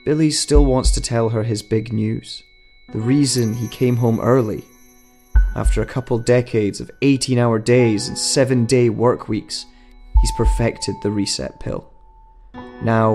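A man's voice: medium pitch, steady tone, unhurried, darkish tone. A man reading a scripted short story as a dramatic narrator. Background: music.

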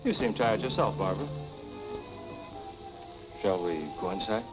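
An actor playing a suave, quietly menacing man in his thirties, speaking smoothly and formally in 1940s film style. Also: lowish voice, measured pace, lightly acted. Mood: courteous, cool. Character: suave, cool male family friend, quietly insinuating and menacing. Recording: dull 1940s film soundtrack with music.

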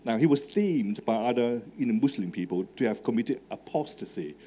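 A man's voice: mid-range voice, animated, fairly quick, warm tone, a little hiss or hum. Man with a Hong Kong accent. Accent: Hong Kong English. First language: Cantonese. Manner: conversational with fillers, asks the audience questions. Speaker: speaker at a student evangelistic talk. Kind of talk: live talk to a student audience. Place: large marquee tent with a stage. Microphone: handheld microphone.

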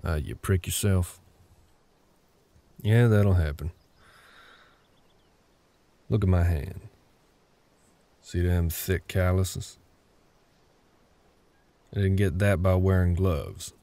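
Man playing a deep, gravelly gruff Southern cowboy. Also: lively intonation, takes it slowly, pauses often, mellow, exaggerated voice. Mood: softening, gruff. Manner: gruff, drawling, terse. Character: gruff older cowboy ranch hand, grumpy, curt, grudgingly helpful. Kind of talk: roleplay audio drama, ASMR.

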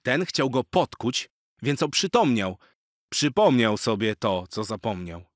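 A man reading children's rhymes aloud in an expressive, theatrical and rhythmic way. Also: medium pitch, unhurried, no echo, studio quality. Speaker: children's story reader.

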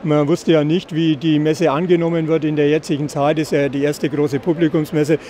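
Middle aged guy explaining product stuff, bit halting, voice on the high side, busy fair noise. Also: flat delivery, warm tone. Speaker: caravan company representative. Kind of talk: product presentation in an interview. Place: caravan at an indoor trade fair. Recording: clear background noise of busy trade fair.

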